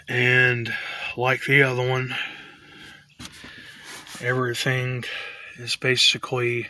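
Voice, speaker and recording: A man giving a casual, tentative show-and-tell commentary in a halting way, like a hobbyist vlogger. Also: mid-range voice, sharp tone, slight background noise.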